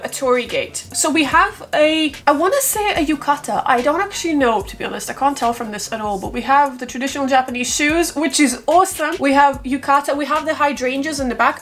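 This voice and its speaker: A woman with a stuffy, nasal voice from a cold, giving excitable, casual reaction commentary as a gaming vlogger. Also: sits high. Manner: excitable, exclaiming. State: has a bad cold.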